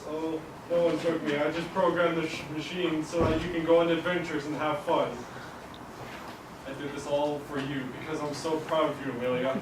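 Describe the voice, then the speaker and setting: A man's voice: fairly high pitch, level tone, normal speed, close to an everyday voice. A young man playing a proud, affectionate father in a live children's puppet show. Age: young adult. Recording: echoey distant hall with hiss.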